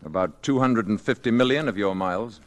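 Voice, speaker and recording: A man plays a grave visitor from another world in a classic radio drama. His voice is low and his delivery is measured and terse. He carries calm authority. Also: natural voice. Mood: calm, firm. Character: calm, grave alien visitor in human form.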